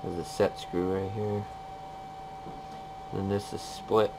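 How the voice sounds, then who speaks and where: A man's voice: deep voice, level tone, slow pace. A man giving a casual, plain-spoken hands-on repair walkthrough in short, informal phrases. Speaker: machinist demonstrating a repair. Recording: clear background noise, workshop ambience.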